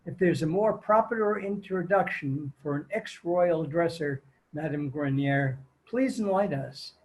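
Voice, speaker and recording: Old-time radio host, played by a man: courteous, formal announcing, slightly high voice. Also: a little slow, darkish tone, low-quality recording. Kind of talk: 1930s radio announcing, amateur table read.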